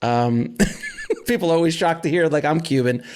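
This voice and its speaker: A man from South Florida hosting a podcast, chatty and quick to laugh, often restarting his sentences. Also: fairly high pitch, slight background noise. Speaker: podcast host. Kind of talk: casual interview chat.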